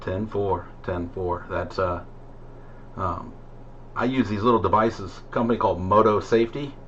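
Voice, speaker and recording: A man's voice: fairly low pitch, fairly even intonation, normal speed. A middle-aged American man chatting casually over CB radio in a rambling, hesitant way. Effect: CB radio transmission. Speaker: amateur CB radio operator. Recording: muffled CB radio signal with static noise.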